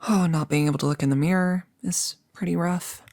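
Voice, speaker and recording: A woman's voice: on the low side, fairly even intonation, fairly quick, darkish tone, dry recording. A woman playing a chatty, upbeat girlfriend in an intimate ASMR roleplay, rambling casually and musing with hesitations. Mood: musing, hopeful. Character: chatty, upbeat girlfriend about to become a vampire.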